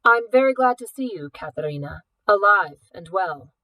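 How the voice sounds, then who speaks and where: A woman voicing a suave, wealthy older male art collector in a storybook, speaking in a formal, measured, indulgent way. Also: medium pitch, lively intonation, sharp tone, no echo, poor audio. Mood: smooth, courteous.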